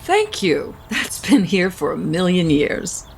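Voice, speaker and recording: A woman's voice: on the low side, sing-song, slow pace, gravelly, a little mellow, slight background noise, exaggerated voice. An actress playing a genteel, protective middle-aged mother who holds grudges, in an audio soap opera. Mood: gracious.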